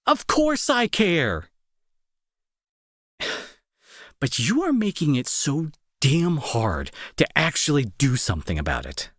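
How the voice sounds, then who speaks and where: A young man playing a gruff school bully who is fearful and protective underneath, in an intense, halting, pleading audio roleplay style. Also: fairly high pitch, huge pitch swings, fairly bright tone, no room sound, studio quality, heavily acted. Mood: agitated, pleading. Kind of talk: dramatic audio roleplay acting.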